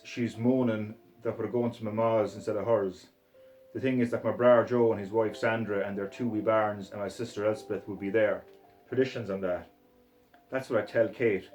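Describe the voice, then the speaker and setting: A man's voice: lowish voice, fairly even intonation, moderate pace, darkish tone, soft background music, natural voice, rough recording. A man reading aloud as a foul-mouthed, violent Scottish hard man, swearing and boastful in a Scottish accent. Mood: ranting. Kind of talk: reading a short story aloud in character. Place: sitting room floor.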